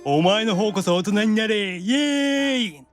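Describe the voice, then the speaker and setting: A man voicing an excitable young demon gamer in an anime audio drama, with an eager, expressive delivery. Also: high-pitched, measured pace, faint music behind, pitched above the performer's own voice. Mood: puzzled, teasing.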